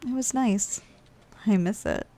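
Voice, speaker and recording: Giantess office worker , female performer: medium pitch, weary and blunt, musing conversationally in an ASMR roleplay, lightly teasing. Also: unhurried, dark tone. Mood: friendly, wistful.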